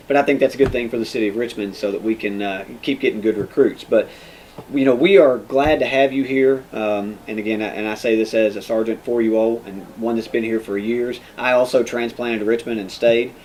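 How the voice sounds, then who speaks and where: A man with an American accent hosting a casual interview as a police sergeant, in an informal, halting way. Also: mid-range voice, fairly even intonation, darkish tone, rough recording. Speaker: podcast host, police sergeant. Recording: noticeable background noise.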